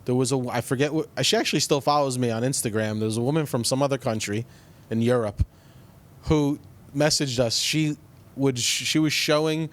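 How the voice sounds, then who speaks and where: A man's voice: mid-range voice, moderate pace. A man from New Jersey with a New Jersey accent, hosting a podcast and telling loose, rambling anecdotes in casual chat. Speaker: podcast host.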